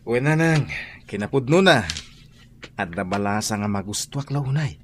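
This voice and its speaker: A man's voice: mid-range voice, expressive, moderate pace, fairly bright tone, slight background noise, lightly acted. A man with a Filipino accent playing an earnest young suitor in love in a radio drama. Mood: pleading. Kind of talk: Ilocano radio drama acting.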